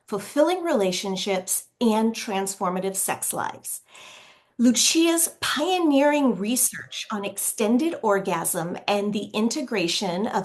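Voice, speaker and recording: A woman academic host reading a formal scripted introduction of a presenter in a measured way. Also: medium pitch.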